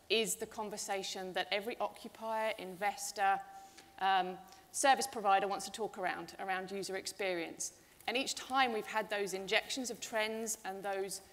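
A middle-aged woman speaking on a conference panel, upbeat and gesturing as she talks. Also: mid-range voice. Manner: upbeat, gestures while talking. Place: large event hall stage. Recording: reverberant hall.

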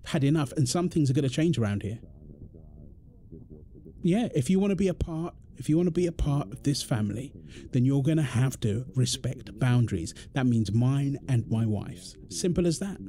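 A man's voice: mid-range voice, expressive, moderate pace, warm tone, natural voice. A British man acting an exasperated, protective husband in a confrontational audio roleplay drama, speaking emphatically. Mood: angry, fed up. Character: exasperated, protective husband arguing with his overbearing mother-in-law. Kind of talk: ASMR roleplay drama monologue.

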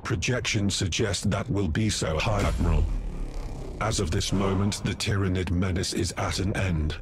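Actor as a low, solemn fleet adviser. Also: male voice, fairly even intonation, background noise, natural voice. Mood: solemn, detached. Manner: measured, formal, detached. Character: solemn, coolly analytical male fleet adviser reporting readings. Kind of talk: dramatic video game voice acting.

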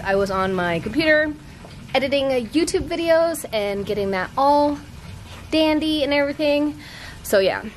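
A woman's voice: mid-range voice, a little hiss or hum. An American woman in her thirties chatting casually and expressively to camera in an informal vlog, held at arm's length. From Thailand-born, raised in the United States. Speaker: fitness vlogger. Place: outdoor patio by a pool at home.

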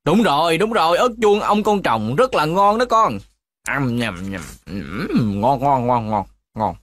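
A man's voice: a little high, big pitch swings, unhurried, fairly bright tone, a little hiss or hum. A man voicing an easygoing father in a cartoon dub. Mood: contented. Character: easygoing dad enjoying his dinner. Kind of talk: Vietnamese cartoon dubbing.

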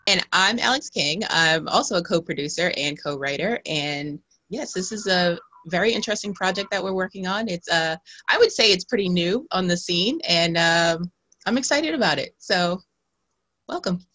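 A young woman with a low-ish but very bright voice introduces herself in a relaxed way, pausing with fillers. She talks into a desk microphone. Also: poor audio. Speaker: co-producer and co-writer. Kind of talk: self-introduction on video call.

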